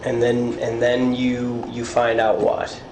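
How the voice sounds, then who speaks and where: An American man questioning a suspect as a police interrogator, with procedural, halting questions. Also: mid-range voice, monotone, darkish tone. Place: indoor police interview room. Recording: echoey, noisy interrogation room audio.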